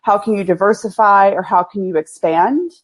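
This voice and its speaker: A woman in her forties with an East Texas accent, a guest talking candidly and folksily in a casual interview, quick to laugh. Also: medium pitch. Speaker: agency owner, guest.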